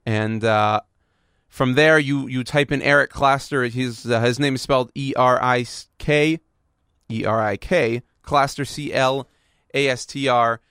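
A man's voice: mid-range voice, steady tone, measured pace, a bit bright, clear, resonant tone, no room sound, studio quality. A man hosting a radio show, talking informally to his listeners. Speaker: show host.

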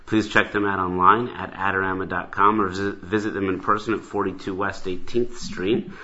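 A man's voice: low-pitched, level tone, fairly quick, pushed and bright, highs cut off. A man hosting a conversational interview show, talking in a wordy way and rephrasing as he goes. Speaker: talk show host and interviewer. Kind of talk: conversational interview with sponsor plugs.

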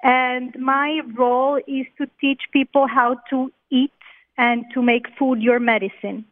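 Colombian-accented woman, slightly high voice, tinny phone line, cheerful. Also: steady tone, measured pace. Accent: Colombian Spanish. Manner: cheerful, laughs easily. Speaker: cookbook author. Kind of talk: phone interview.

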